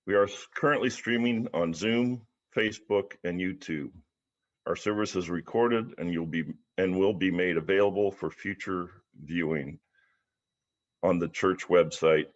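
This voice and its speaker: A man with a very low voice acts as host of a service. He reads out a formal welcome and announcements in a somewhat halting way. The sound is dull and lo-fi, as on a video call.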